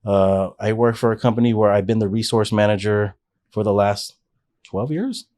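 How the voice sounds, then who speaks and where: A man's voice: on the low side, normal speed, a little mellow. A man in his forties, a podcast guest, telling his life story in an earnest, rambling way with frequent restarts. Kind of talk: casual life-story interview.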